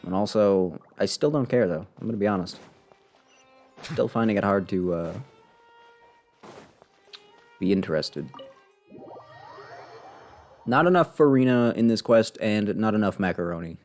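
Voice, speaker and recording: A man's voice: on the low side, expressive, measured pace, pauses often, darkish tone, faint music behind. A man giving casual live gameplay commentary as a streamer, in a wry, rambling way. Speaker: game streamer.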